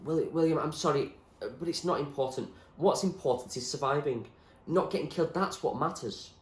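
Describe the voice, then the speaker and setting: A man's voice: on the high side, expressive, fairly quick, roomy sound, pitched above the performer's own voice, natural voice. A man voicing a desperate, frustrated girl in a storybook, pleading urgently and with exasperation. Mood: insistent. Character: desperate, frustrated girl urging a friend to flee. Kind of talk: acted storybook dialogue.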